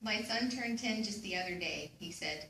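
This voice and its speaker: A woman's voice: mid-range voice, animated, moderate pace, sharp tone. A young woman narrating scripted dramatic pieces as a student reader in a readers theater. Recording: echoey room, distant mic, faint noise.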